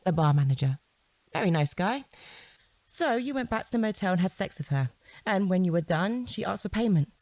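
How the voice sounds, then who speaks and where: An actress playing a shrewd, composed police detective who questions a suspect in a controlled, insistent way in an audio drama. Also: female voice, mid-range voice, animated, a little fast, darkish tone, no room sound, close to an everyday voice. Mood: firm, pressing.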